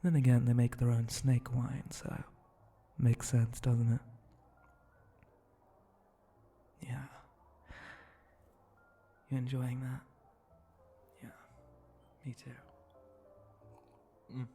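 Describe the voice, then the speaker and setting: A man playing a low, gravelly, flirty monster bartender. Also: little pitch movement, dark tone, faint music behind. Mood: relaxed, chatty. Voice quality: breathy, gravelly, often near a whisper. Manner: slow, languid, suggestive drawl with pauses and hums. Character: flirty, teasing spider-monster bartender, smooth-talking seducer. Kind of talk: intimate ASMR roleplay.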